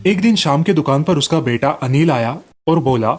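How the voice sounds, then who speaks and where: A man's voice: fairly high pitch, animated, normal speed. A man reading scripted narration for children's moral stories as the storyteller.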